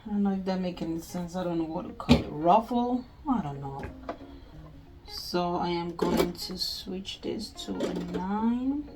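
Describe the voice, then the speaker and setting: A woman's voice: fairly low pitch, expressive, a little slow, music in the background. Nigerian woman chatting through a sewing tutorial. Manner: chatty, thinking aloud while working. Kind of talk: casual step-by-step crafting tutorial.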